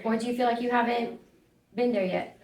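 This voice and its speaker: A woman hosting a podcast, chatty and firing off quick questions in a casual conversation. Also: fairly high pitch, steady tone, a little mellow, distant mic. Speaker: podcast host. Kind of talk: casual interview chat. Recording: roomy, chatter behind.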